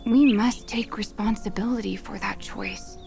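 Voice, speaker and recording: Careful serious young kid in a game, super creaky voice, music behind. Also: female voice, medium pitch, fairly even intonation, a little slow, darkish tone. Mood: serious, cautious. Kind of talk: video game voice acting, English dub. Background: background music.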